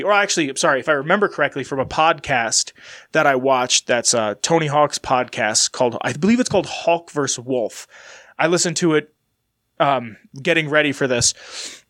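A man's voice: on the high side, fairly bright tone. Chatty man with a stuffy sinus voice. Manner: rambling, loose, chatty. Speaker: podcast host. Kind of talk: casual solo podcast chat.

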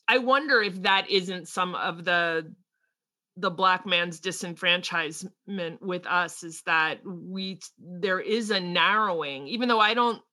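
Animated, bright-voiced woman. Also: medium pitch. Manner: animated, quick asides, frequent laughs. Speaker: podcast co-host. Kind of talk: casual political chat.

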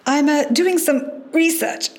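A woman's voice: high-pitched, slow pace. An actress playing a polite, genteel female film star as a hospital patient in a radio play, gracious and reserved. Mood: gracious, guarded.

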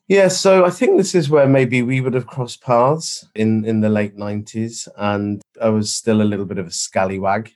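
A man in his forties with a slight Welsh accent, a former pastor, telling his story as a podcast guest in a self-deprecating, unhurried way. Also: fairly low pitch, darkish tone. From Wales. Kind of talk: casual storytelling.

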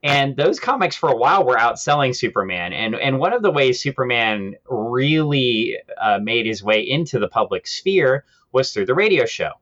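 A man's voice: mid-range voice, big pitch swings, moderate pace, hardly any pauses, fairly bright tone. Man podcasting, slightly dull audio. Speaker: podcast co-host. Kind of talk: casual explanatory chat about comics history.

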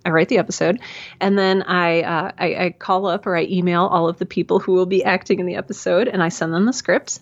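A woman's voice: fairly low pitch, steady tone, a little fast, dull audio. A woman, talking casually and self-deprecatingly as an interview guest, with lots of fillers and restarts. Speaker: podcast guest, audio drama creator. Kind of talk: conversational interview. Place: home room hung with towels.

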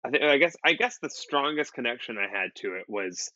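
A man in his late twenties with a bright, forward voice, talking loosely and circling back on himself with lots of "like" and restarts. The sound is close and dry, a little dull in the highs. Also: expressive, a little fast, dry recording. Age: late 20s. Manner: rambling with many restarts and fillers, swears casually. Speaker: podcast co-host. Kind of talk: casual chat.

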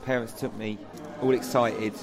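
A man's voice: lowish voice, fairly even intonation, normal speed, a crowd in the background. A man from England co-hosting a theatre podcast, bantering and telling stories with mock-earnest drama. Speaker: podcast co-host, actor.

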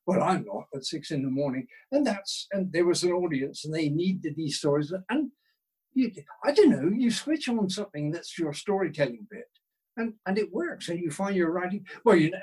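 A man's voice: a little high, lively intonation, normal speed, a little mellow, husky, low-quality recording. An older man being interviewed in a casual, self-deprecating way, thinking aloud and rephrasing as he goes. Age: 60s or older. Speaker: interview guest, writer.

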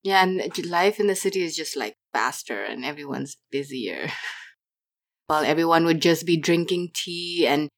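A young woman from Nepal talks easily as an interview guest, her low voice running on with "like" and small restarts. She speaks casually and openly. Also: lively intonation, normal speed, vocal fry. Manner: chatty, many fillers and restarts.

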